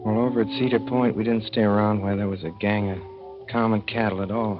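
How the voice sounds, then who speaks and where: A man's voice: fairly low pitch, level tone, quick pace, close to an everyday voice. An actor playing an earnest, lovesick young racetrack worker, telling his story in folksy slang for a 1930s radio drama. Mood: wistful. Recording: dull old radio recording with music.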